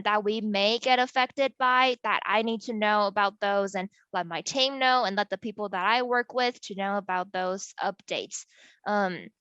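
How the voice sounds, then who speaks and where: A young woman talks casually and explains as she goes. Her voice is fairly high and bright, with a smooth, clear tone. The sound is dry and slightly dull. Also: dry recording. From Taiwan. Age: 20s. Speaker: tech company employee being interviewed. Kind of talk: casual explanatory talk about work.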